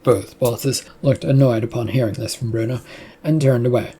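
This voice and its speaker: A narrator of unclear sex reading a scripted story aloud in a husky, creaky voice. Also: mid-range voice, steady tone, moderate pace, dark tone, slight background noise.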